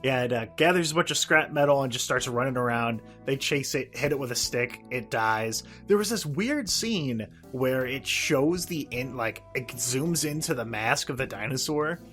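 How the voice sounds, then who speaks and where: A man with a mid-pitched voice chats as a podcast co-host. He rambles and stumbles through a recap, swearing and wry, with faint music playing behind him. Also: expressive, moderate pace, few pauses, fairly bright tone. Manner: profane, sardonic descriptions. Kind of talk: casual episode recap chat.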